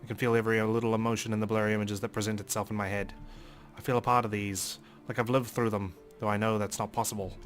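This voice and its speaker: A man with a low voice hosts a let's play of a visual novel. He reads the story in a measured, dramatic way, with faint music behind him. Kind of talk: dramatic read-aloud narration of a visual novel with commentary.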